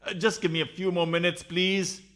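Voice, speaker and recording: A man's voice: high-pitched, level tone, a little fast, fairly bright tone. Man playing a flustered, scheming radio-farce husband. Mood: flustered, placating. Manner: hesitant, stammering, smooth-talking. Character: scheming, flustered husband hiding his mistress, placating and evasive. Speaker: actor.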